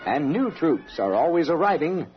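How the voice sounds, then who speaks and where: A man's voice: fairly high pitch, big pitch swings, normal speed. A man narrating a scripted radio documentary with dramatic, solemn phrasing. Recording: dull old radio recording. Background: music.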